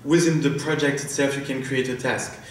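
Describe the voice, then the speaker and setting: A man's voice: medium pitch, fairly even intonation, brisk, slight background noise. French guy demoing his startup on stage, lots of uh and um, super echoey hall, sounds far from the mic. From France. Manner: hesitant, many fillers and restarts. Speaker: startup founder presenting. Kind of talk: live product demo on stage. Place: large conference hall.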